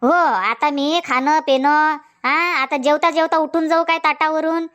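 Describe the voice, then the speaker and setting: A woman's voice: high voice, steady tone, a little slow, fairly bright tone, lo-fi sound. A woman voicing a nagging middle-aged village farm wife in a comic cartoon, scolding. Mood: indignant. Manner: scolding, insistent, long rants. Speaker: cartoon voice actor.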